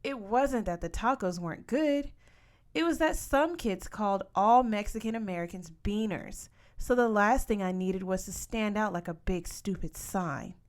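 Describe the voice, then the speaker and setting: A woman narrating a first-person story as an audiobook reader, with expressive delivery. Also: mid-range voice, moderate pace.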